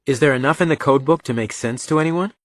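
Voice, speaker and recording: A man voicing a sharp, wry young boy from a military family in an audiobook, asking short probing questions. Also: mid-range voice, fast talker, dry recording, studio quality, pitched above the performer's own voice, close to an everyday voice.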